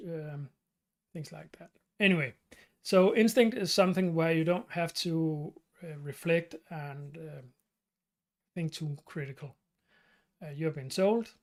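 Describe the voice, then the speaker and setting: Danish man, halting live-stream talk. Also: on the high side. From Denmark. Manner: halting, many fillers and restarts. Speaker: channel host.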